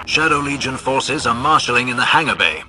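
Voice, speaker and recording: A man's voice: medium pitch, expressive, brisk, background noise, natural voice. A man playing a dry, courteous, well-spoken military scout and marksman in a video game. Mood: wry, measured. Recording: music behind the voice.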